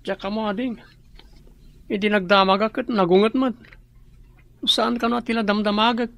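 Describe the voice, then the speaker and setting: A woman's voice: medium pitch, steady tone, normal speed, close to an everyday voice. A woman acting a resentful boy, an older brother, in a radio drama with a bitter, resigned manner. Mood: evasive, glum.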